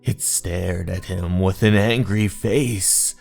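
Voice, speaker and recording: Slow, deep, creaky man telling horror. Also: steady tone, a little mellow. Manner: deliberate, suspenseful storytelling. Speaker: narrator of horror manga stories. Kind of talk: scripted dramatic horror story narration. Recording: music under the voice. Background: music.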